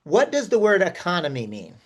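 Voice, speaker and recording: A man's voice: sits high, huge pitch swings, brisk. A man leading a participatory online workshop over a video call, inviting people in and thinking aloud with fillers. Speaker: workshop facilitator.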